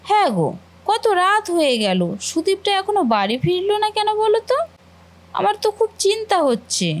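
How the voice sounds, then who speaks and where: Woman acting high-voiced, motherly young housewife. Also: big pitch swings, moderate pace, a little hiss or hum. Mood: worried. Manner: affectionate, fussing, motherly. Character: caring, doting elder sister-in-law, housewife, gently scolding. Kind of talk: Bengali family cartoon voice acting.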